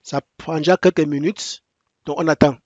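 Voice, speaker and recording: A man whose first language is English, walking through a software installation step by step in halting French with frequent restarts and repeated instructions. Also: fairly high pitch, steady tone, no echo, muffled recording. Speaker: software tutorial presenter. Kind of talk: screen-recorded software tutorial.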